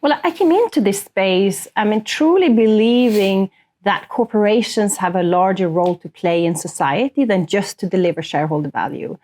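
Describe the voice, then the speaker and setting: A woman's voice: medium pitch, expressive, moderate pace, darkish tone. A woman in her thirties or early forties from northern Sweden, a native Swedish speaker, explaining things earnestly as an executive in a conversational interview. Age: 30s to early 40s. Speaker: corporate sustainability executive, interview guest.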